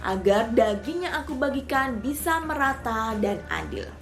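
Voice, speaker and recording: A woman's voice: high voice, expressive, moderate pace, faint music behind. A woman voicing a sly, boastful trickster mouse deer in a children's storybook, sweet-talking with feigned innocence. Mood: falsely kind.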